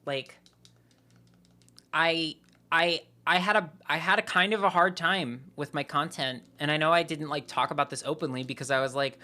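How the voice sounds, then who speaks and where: A young woman streamer chatting casually and candidly with her viewers, her voice lowered by a sore throat, rambling with lots of "like" fillers. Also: moderate pace, sharp tone. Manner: rambling and candid, heavy use of "like". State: sore throat, voice deeper than usual. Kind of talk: casual live-stream chat.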